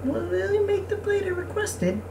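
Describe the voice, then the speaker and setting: A woman voicing a polite, demure young noblewoman in a visual novel, softly and formally. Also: extremely high pitch, sing-song, unhurried, creaky, roomy sound, pitched above the performer's own voice, heavily acted. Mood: hesitant. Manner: soft, courteous, formal. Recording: noisy, distant microphone.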